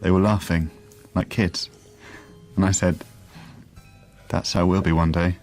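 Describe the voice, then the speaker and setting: A man playing a gentle, halting young father, lovelorn and bewildered, in a naturalistic radio drama. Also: low-pitched, flat delivery, soft background music. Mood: wistful, bereft. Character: lovelorn, bewildered father putting on cheer for his daughter. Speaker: actor.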